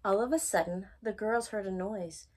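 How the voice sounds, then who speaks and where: A woman reading a rhyming children's picture book aloud as a storytime host, with expressive, singsong emphasis. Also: medium pitch, normal speed.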